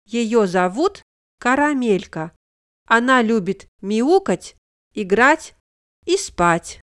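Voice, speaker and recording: A woman reading the part of a young female Russian student who introduces herself slowly and carefully. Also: fairly high pitch, sing-song, clean tone, no room sound. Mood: friendly. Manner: slow, careful textbook reading.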